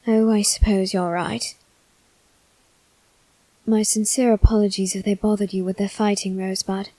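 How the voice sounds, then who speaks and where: Woman voicing a soft-spoken, courteous demon brother. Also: mid-range voice, little pitch movement, normal speed, dry recording, natural voice, poor audio. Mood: apologetic, courteous. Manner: polite, formal, gently teasing. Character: soft-spoken, courteous male demon servant triplet, teasing. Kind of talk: ASMR roleplay addressed to the listener.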